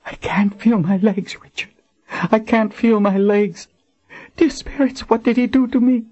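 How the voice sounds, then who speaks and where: Guy doing a hurt young woman, way up high, crying and begging, kinda muffled audio. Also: flat delivery, takes it slowly, darkish tone, slightly rough, exaggerated voice. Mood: panicked. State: crying, breathless. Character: young woman, badly wounded, panicked and pleading. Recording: dull lo-fi audiobook recording.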